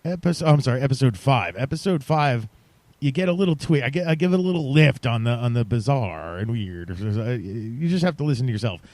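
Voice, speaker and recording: A man's voice: mid-range voice, animated, brisk, a little mellow, dry recording. Chatty man hosting a podcast, dry sound. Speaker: podcast host. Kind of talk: casual podcast chat addressed to listeners.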